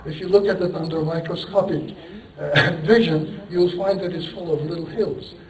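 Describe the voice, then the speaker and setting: A man lectures to a conference audience, thinking aloud, apologizing for rambling and tossing out rhetorical questions. His voice sits fairly high for a man. It carries through a reverberant hall from some distance off the mic. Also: fairly even intonation, normal speed, crowd noise. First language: Turkish. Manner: rambling, self-deprecating, poses rhetorical questions, chuckles. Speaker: engineering professor giving a lecture. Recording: echoey hall, voice picked up from a distance.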